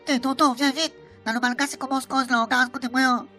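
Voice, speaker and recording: Excitable young character voiced by a woman, Réunion accent, sharp forceful tone, exasperated and exclaiming, radio comedy with music bed. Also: high voice, fast talker. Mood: insistent. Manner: urgent, insistent, exclaiming. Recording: music under the voice.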